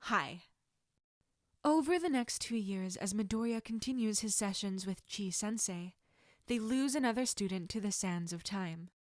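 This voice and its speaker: Woman reading a story out loud, expressive, mid pitch, very dry recording. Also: moderate pace, dry recording. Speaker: fan fiction narrator. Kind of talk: scripted story narration.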